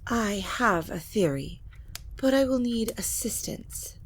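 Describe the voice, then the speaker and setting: A woman playing an ancient, scheming vampire matriarch in an audio drama, speaking in a measured, formal and grave manner. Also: mid-range voice, lively intonation, slight background noise. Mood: grave, resolute. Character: ancient vampire matriarch, scheming scholarly mother of grown children. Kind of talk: scripted audio drama acting.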